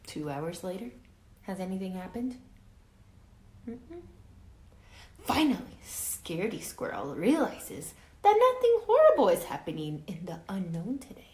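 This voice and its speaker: A woman reading a picture book aloud to children as a teacher, dramatic and expressive, pausing to ask her listeners questions. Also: mid-range voice. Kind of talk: animated children's read-aloud with asides to listeners. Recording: roomy echo with faint background noise.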